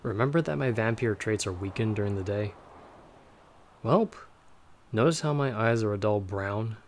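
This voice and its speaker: A man playing a dry, guarded but protective vampire hunter in an ASMR audio roleplay, speaking in a measured, wry way. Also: on the low side, a little hiss or hum, close to an everyday voice. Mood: stern, warning. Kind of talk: ASMR audio roleplay, scripted monologue to listener.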